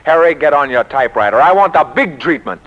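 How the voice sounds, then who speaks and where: An actor playing an excitable, bombastic American publishing executive in a 1940s radio comedy, with theatrical, grandiose emphasis. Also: male voice, on the high side, fast, lightly acted. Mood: excited, rhapsodic. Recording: muffled 1940s radio recording.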